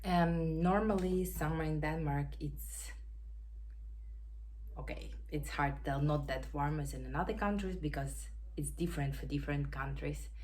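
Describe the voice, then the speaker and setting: A woman with a Ukrainian accent hosting a knitting podcast from home, chatting casually and pausing to search for words in English. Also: low-pitched, a little mellow, slight background noise, rough recording. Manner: unhurried, searching for words, non-native grammar. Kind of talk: casual show-and-tell chat. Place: home in Denmark. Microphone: camera.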